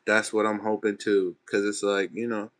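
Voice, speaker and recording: A young man with a fairly low voice talks to his viewers in a relaxed, chatty way. He is from Texas and speaks in loose, slangy phrases as he answers comments live from his room at home. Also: moderate pace. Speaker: livestreamer. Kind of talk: casual livestream chat reading comments. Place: bedroom at home.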